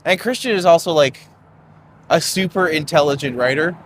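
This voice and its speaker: A man's voice: on the high side, moderate pace, fairly bright tone, slight background noise. A young man hosting a live-streamed walking tour outdoors on a city street, rambling and chatty with lots of fillers, recorded on a phone.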